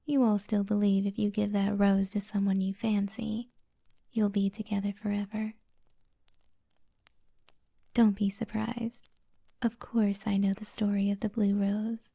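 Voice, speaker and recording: A kind witch of the woods, acted by a woman, murmuring in an intimate ASMR roleplay. The voice is very dark and soft, with a gravelly fry under the breathy whisper. The delivery stays even, soothing and gently flirty, teasing the listener. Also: mid-range voice, unhurried, long pauses. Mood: amused, teasing.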